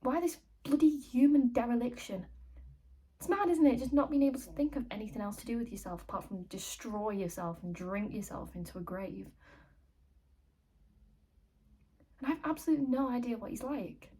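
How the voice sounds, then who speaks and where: A woman's voice: fairly high pitch, dark tone, slightly rough. An actress playing an agitated, chatty woman fed up with pestering strangers, in a blunt, rambling contemporary stage monologue. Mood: exasperated, disgusted.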